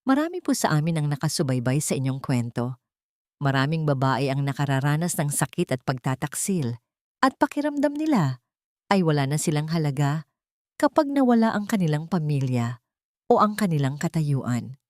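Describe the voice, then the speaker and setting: A woman's voice: deep voice, big pitch swings, moderate pace, darkish tone, no room sound, clean studio sound. A woman narrator voicing an earnest, admiring young woman journalist in a story, asking tentatively.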